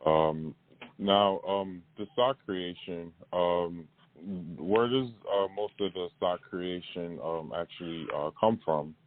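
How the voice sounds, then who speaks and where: A deep-voiced man hosts a casual interview over thin, phone-like audio. His delivery is halting and hesitant, with words often repeated. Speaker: interviewer and host. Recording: dull phone-like call audio.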